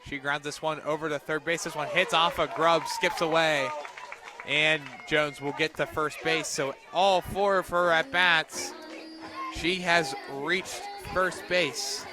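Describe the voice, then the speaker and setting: A man with a fairly high voice works as a play-by-play announcer on a live softball broadcast. He gives clipped, present-tense calls that lift when the ball is in play. There is clear, constant sound behind him, as from an outdoor radio broadcast. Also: fairly even intonation, slow pace, over music, crowd noise.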